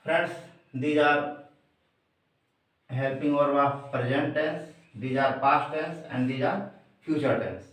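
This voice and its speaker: Indian man teaching, very slow, echoey room. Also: medium pitch, fairly bright tone. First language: Hindi. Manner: deliberate, emphatic, repeatedly addresses listeners as friends. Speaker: English grammar teacher. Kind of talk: instructional grammar lesson to camera. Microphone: distant microphone. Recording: very echoey room, distant mic.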